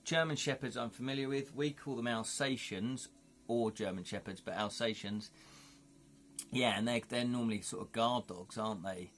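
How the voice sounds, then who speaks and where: A man's voice: mid-range voice, normal speed, fairly bright tone. Chatty man rambling on stream. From United Kingdom. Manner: rambling, chatty, thinks aloud, often says "you know". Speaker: livestream host. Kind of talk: casual chat with viewers.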